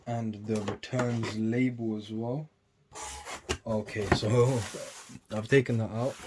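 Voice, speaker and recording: A young man, casually chatting and rambling through an unboxing on his vlog, often trailing off quietly between livelier bursts. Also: on the low side, level tone, slow, background noise. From United Kingdom. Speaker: sneaker YouTuber.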